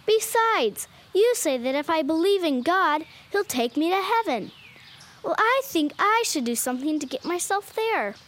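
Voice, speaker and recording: Lady doing a little horse girl, super high lively voice, sure of herself, kids radio play, bit of music under. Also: moderate pace, heavily acted. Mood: doubtful, independent. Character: confident, self-reliant young country girl who rides horses.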